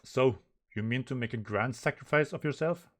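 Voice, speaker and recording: A man's voice: medium pitch, normal speed, pitched above the performer's own voice, natural voice. A man voicing an imperious elder villain from a manga, formal, menacing and commanding.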